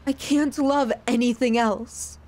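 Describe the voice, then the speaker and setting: A woman performs as a possessive yandere girlfriend in an intimate audio drama. Her voice sits fairly high and moves very slowly, with halting pauses. She speaks straight to the listener, tender and desperate with menace underneath. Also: fairly even intonation, a little mellow. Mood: fervent devotion. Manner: slow, halting, pleading, intimate delivery. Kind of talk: dramatic ASMR roleplay addressed to the listener.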